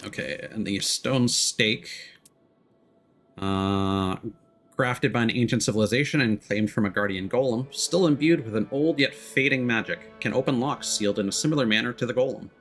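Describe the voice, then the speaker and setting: A man's voice: medium pitch, normal speed, fairly bright tone, soft background music. A man giving casual, off-the-cuff commentary as he streams a video game. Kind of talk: casual let's-play commentary.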